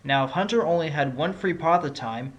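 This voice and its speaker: A man's voice: fairly high pitch, animated, brisk, natural voice. A man playing a scrappy, determined fox defense attorney in a courtroom audio drama, making his points in a pointed, argumentative way. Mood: confident, pressing. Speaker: voice actor in fan audio drama. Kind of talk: courtroom drama acting with inner monologue. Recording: music clearly under the voice.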